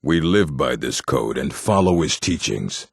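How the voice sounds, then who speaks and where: An actor playing a gruff, proud orc in a fantasy video game, blunt and stern, with a deep, husky, gravelly voice. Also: male voice, very animated, measured pace, dry recording, clean studio sound, full character voice. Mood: proud, solemn. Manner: blunt, stern, terse, solemn. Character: gruff, proud, hostile male orc of a stronghold.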